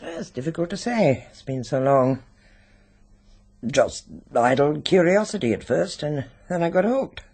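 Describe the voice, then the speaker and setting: Sinister, husky British occultist. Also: male voice, on the high side, sing-song, a little mellow, exaggerated voice. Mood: musing. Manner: deliberate, dramatic, insinuating. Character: sinister, secretive male occult expert, coolly menacing. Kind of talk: British radio drama acting.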